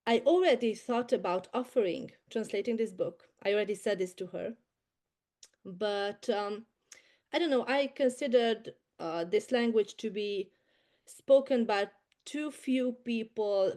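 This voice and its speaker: Woman, Hungarian accent, soft hesitant delivery, video call guest. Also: medium pitch. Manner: soft-spoken, hesitant, many fillers. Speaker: medical translator guest.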